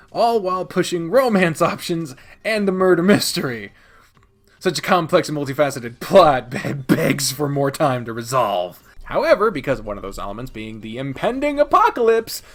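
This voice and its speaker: A man's voice: medium pitch, fairly bright tone. A man giving a casual, opinionated countdown review as a video host, partly scripted, with a blunt, sweary manner. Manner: sweary, blunt, chuckling asides.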